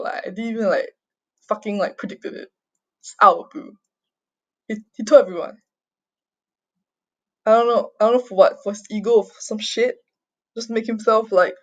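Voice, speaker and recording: A young woman from Singapore speaks in a middle register on a muffled, lo-fi recording. She talks off the cuff in an agitated, distressed confessional, stopping and restarting, repeating phrases, swearing and often checking in with a short tag question. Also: mid-range voice. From Singapore, raised mostly overseas. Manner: halting, repetitive, sweary, often tags phrases with "okay?". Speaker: vlogger giving a personal account. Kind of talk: unscripted confessional monologue. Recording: dull lo-fi home recording.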